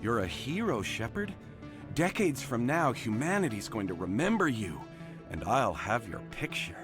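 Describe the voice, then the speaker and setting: An actor playing a gushing, starstruck fan in a video game, excitable and full of admiration. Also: male voice, mid-range voice, moderate pace, over music, close to an everyday voice. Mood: eager, delighted. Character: gushing, starstruck male fan of a war hero. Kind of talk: video game dialogue acting.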